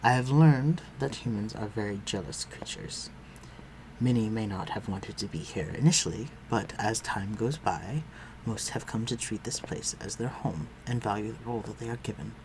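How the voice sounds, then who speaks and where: A man playing a refined, protective vampire lord in an intimate audio roleplay, speaking formally and with measured command. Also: on the low side, darkish tone, a little hiss or hum, lightly acted, low-quality recording. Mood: composed, reserved. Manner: formal, measured, archaic phrasing, dropping to breathy murmurs.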